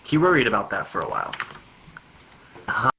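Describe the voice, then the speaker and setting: A man's voice: on the low side, wildly swooping pitch, moderate pace, creaky, sharp tone, slight background noise, low-quality recording. A man reading a story aloud unrehearsed, often stumbling and repeating words. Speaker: reader of a long joke story.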